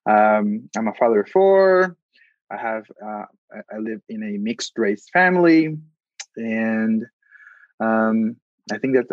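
Halting man. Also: on the low side, a little mellow, dry recording, lo-fi sound. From Colombia. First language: Spanish. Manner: halting, repeats words while searching for them. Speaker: guest consultant. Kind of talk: casual panel conversation.

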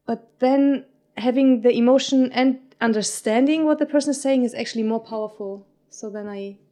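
German-native woman poet, mellow and slightly high, earnest, searches for her words. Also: normal speed. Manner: searching for words, many filler likes, earnest. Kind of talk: casual conversation.

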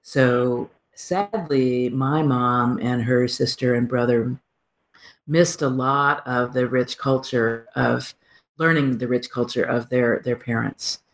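A woman hosting a radio interview show, chatty and warm, laughing often and thinking aloud. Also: very deep voice, fairly even intonation, slow pace. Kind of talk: casual interview chat.

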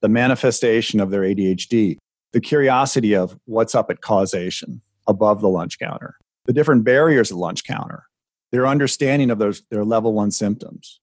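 A man's voice: lowish voice, brisk, a little mellow, slightly rough, no room sound, studio quality. A man co-hosting a podcast in casual chat, reflective and thoughtful, often repeating words as he thinks. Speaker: podcast co-host, coach.

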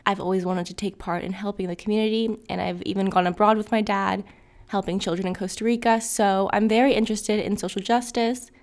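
A woman's voice: mid-range voice, steady tone, brisk, darkish tone. A young woman from Los Angeles, a college student acting as guest host, speaking in an earnest, eager way. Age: young adult.

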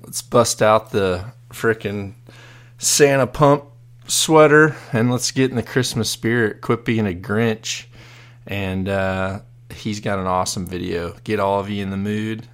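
A hoarse man hosting a live podcast, chatting casually and rambling with many fillers and restarts. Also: lowish voice. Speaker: podcast host.

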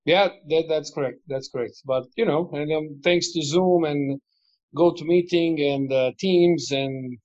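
A man's voice: a little high, measured pace, darkish tone, lo-fi sound. A man in his forties from Montenegro, an English speaker, talking in a remote interview with many fillers and restarts. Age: 40s. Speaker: basketball club figure, former player.

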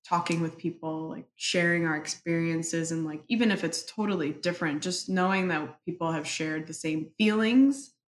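A woman's voice: lowish voice, slight background noise. Reflective woman with a roomy echo. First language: Spanish. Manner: thoughtful, halting, many fillers. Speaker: interview guest. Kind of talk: reflective personal interview. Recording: echoey room, faint ambience.

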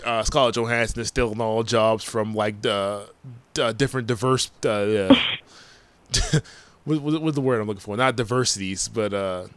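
A man's voice: lowish voice, moderate pace, fairly bright tone, clean tone, no room sound. A man in his forties hosting a casual podcast chat, rambling through news items with lots of hesitant ums. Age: 40s. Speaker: podcast host.

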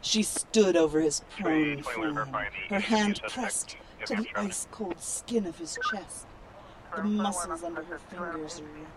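A woman playing a solemn, theatrical audiobook narrator reading dark romance. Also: on the low side, unhurried, over a noisy crowd, close to an everyday voice, poor audio. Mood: solemn, suspenseful. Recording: loud music bed.

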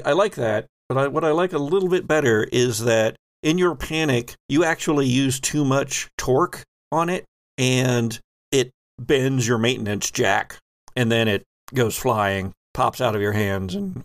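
A man acts as game master at a tabletop role-playing game. His middle-pitched voice moves between rules and improvised description in a conversational way, and it sounds close and dry. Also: unhurried, no echo.

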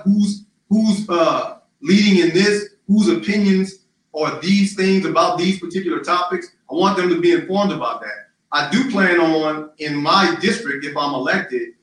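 A man running for city council, answering interview questions in a loud, emphatic, rallying way with lots of repetition. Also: a little high. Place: live-streamed social media forum. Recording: very echoey, distant mic.

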